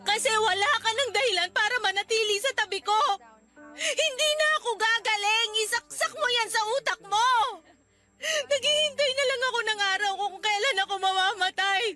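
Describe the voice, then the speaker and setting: A woman voicing a gravely ill young woman who tearfully pushes her partner away in a radio drama. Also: very high-pitched, normal speed, pushed and bright. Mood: sobbing anguish.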